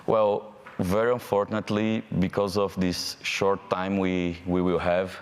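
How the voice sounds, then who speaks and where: A man from Brazil with a Brazilian Portuguese accent moderating a live panel, friendly and halting, with fillers. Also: deep voice, level tone. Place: event hall. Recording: hall reverb from a live event.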